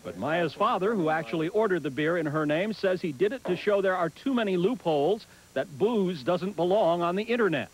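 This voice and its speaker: A man's voice: high voice, animated, fairly quick, few pauses, low-quality recording. A man with an American accent narrating a scripted television news report as a correspondent.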